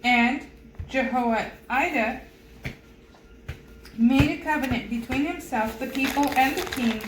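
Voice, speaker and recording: Woman, higher-pitched voice, unhurried halting scripture reading, roomy echo. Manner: halting, stumbles over unfamiliar names. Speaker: Bible reader. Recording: roomy echo with loud background noise.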